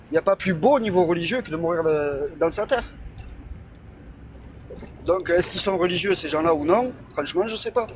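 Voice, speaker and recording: A man of Iranian heritage in a casual political chat, blunt and emphatic, asking rhetorical questions. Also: sits high. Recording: noisy background.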